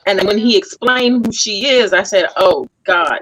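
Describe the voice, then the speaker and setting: A woman hosting a lively, casual group chat, teasing and exclamatory as she talks. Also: mid-range voice, a little slow, low-quality recording. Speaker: talk show host and stage play actress.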